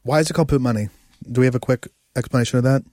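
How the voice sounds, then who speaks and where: A man's voice: mid-range voice, fairly even intonation, very fast, darkish tone, no echo, studio quality. A man co-hosting a podcast in casual banter, slangy and freely profane. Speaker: podcast co-host.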